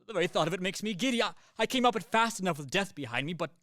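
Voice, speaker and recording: A man's voice: sits high, animated, racing, a bit bright, no echo, clean studio sound. Man playing a weary, mild-mannered soldier. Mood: weary, agitated. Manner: apologetic, mild, wry, with sighs and hesitations. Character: weary, practical professional soldier, politely self-deprecating. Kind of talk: radio play acting.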